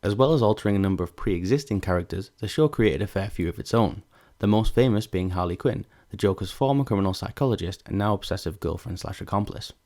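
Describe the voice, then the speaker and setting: A man's voice: on the low side, quick pace, a little mellow. A man reading scripted narration as the narrator of a video essay.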